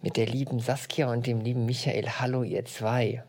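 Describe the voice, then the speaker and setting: A man's voice: mid-range voice, little pitch movement, normal speed, a little mellow. An enthusiastic male podcast host speaks to his guests with effusive praise. He asks his questions eagerly and keeps things upbeat. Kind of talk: warm interview hosting. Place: castle over a lake.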